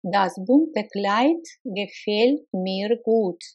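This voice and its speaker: A woman with a mid-pitched voice reads practice sentences at a very slow, careful pace, leaving clear gaps between the words. The recording sounds a little dull and lo-fi. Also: expressive, darkish tone. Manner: slow, deliberate, clearly spaced repetitions. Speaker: language teacher. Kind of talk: slow repeated phrase drills.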